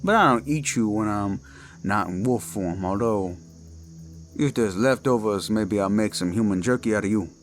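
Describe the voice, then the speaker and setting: A man voicing a menacing Cajun werewolf of the swamps, with a Cajun accent and a slow, taunting drawl. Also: on the low side, lightly acted. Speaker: villain in audio drama. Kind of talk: horror audio roleplay. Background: faint eerie music and crickets.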